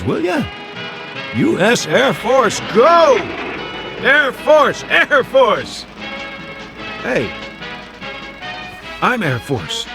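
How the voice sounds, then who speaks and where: An American actor in a radio drama playing a confused, lonely amnesiac Air Force man who talks to himself in halting, stammering repeats. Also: a little high, exaggerated voice. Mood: wry, lonely, then excited, desperate. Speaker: lead actor. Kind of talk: dramatic radio play acting.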